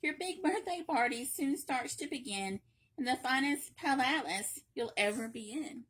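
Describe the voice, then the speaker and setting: A woman reading a children's picture book aloud in a playful, expressive way, with emphatic repetition and chatty asides. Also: high-pitched, unhurried, husky, poor audio. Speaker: library read-aloud reader.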